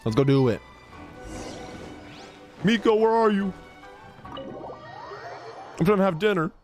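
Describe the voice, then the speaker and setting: A woman's voice: mid-range voice, lively intonation, takes it slowly, darkish tone. Woman streamer reacting to a game, slangy, drawn out and up n down, faint music behind. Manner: slangy, interjecting, playfully exasperated. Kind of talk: casual gameplay reaction commentary.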